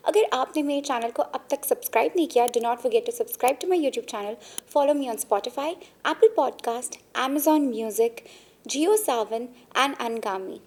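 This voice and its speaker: Fast-talking woman podcast host. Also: a little high, darkish tone. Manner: quick, chatty, mixes English and Hindi. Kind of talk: casual interview chat.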